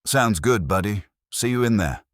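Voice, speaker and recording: A man's voice: fairly low pitch, expressive, normal speed, fairly bright tone, no room sound, studio quality, pitched above the performer's own voice. A man voicing a friendly, easygoing trucker chatting casually over CB radio in a horror story.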